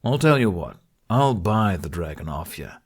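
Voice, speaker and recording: A man voicing a smug, long-suffering Viking mercenary leader in an audiobook, measured and condescending. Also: low-pitched, very animated, slightly rough, exaggerated voice. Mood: bargaining.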